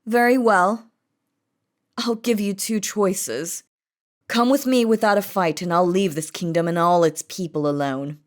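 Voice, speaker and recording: A woman's voice: mid-range voice, measured pace, lightly acted. A woman voicing a possessive, commanding demon queen in a romantic audio roleplay, dramatic and by turns threatening and doting. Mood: menacing.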